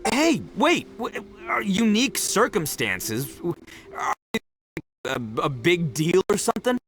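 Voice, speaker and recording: An actor playing a brash, good-natured young oni gang boss in a game, boastful and informal. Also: male voice, high-pitched, big pitch swings, measured pace, fairly bright tone, slight background noise, full character voice. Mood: flustered bragging.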